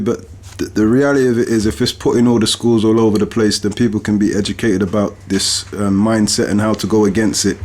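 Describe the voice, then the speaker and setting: A man's voice: fairly low pitch, darkish tone. A man hosting a casual podcast chat in an informal manner, with affirming interjections. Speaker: podcast host and author.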